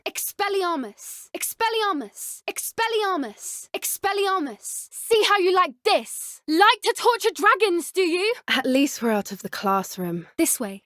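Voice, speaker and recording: A woman voicing a kind, eager teenage witch with a British accent in a video game, speaking in an earnest, articulate and gentle manner. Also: very high voice, big pitch swings, measured pace, hardly any pauses, dry recording, studio quality, exaggerated voice. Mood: urgent, excited. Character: kind, eager teenage witch schoolgirl who loves magical creatures. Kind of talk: scripted video game character dialogue.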